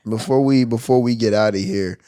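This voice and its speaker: A man hosting a podcast, relaxed and dropping in short "mm-hmm"s while his guests talk. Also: medium pitch, brisk, smooth, no room sound. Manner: relaxed, drops in short affirmations. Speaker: podcast host. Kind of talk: casual podcast interview.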